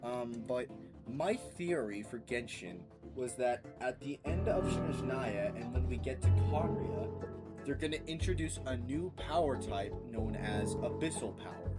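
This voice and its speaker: A man giving casual, excitable commentary over a game, rambling and bursting into loud outbursts. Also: medium pitch, measured pace. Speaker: gaming video creator. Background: loud game music.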